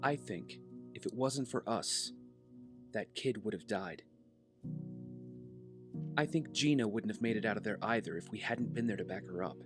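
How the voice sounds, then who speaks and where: A man's voice: fairly low pitch, moderate pace, pauses often, natural voice. A man acting an earnest, self-doubting young vigilante hero in an audio drama. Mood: troubled, reflective. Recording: music behind the voice.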